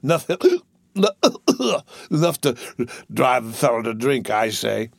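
A man's voice: mid-range voice, sing-song, takes it slowly, creaky, dry recording, studio quality, exaggerated voice. A man voicing a drunk, merry rich young man of about eighteen, with slurred, laughing speech. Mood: tipsy.

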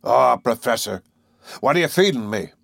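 Guy doing a gruff excitable old-time harpooner, blunt, boastful, storybook tall tale teller, dry close sound. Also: mid-range voice, normal speed, no echo, voice pushed up. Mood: skeptical, indignant. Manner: exclamatory, emphatic, blunt. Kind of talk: audiobook character voice.

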